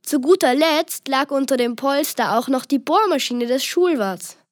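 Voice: high voice, normal speed, no echo, clean studio sound. A child reading scripted narration for a children's story.

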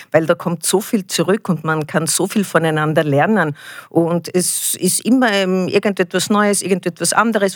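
A woman's voice: lowish voice, dry recording. Middle-aged Austrian woman, dry close sound. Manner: encouraging, praising. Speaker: university rector, interview guest. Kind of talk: interview answers addressed to children.

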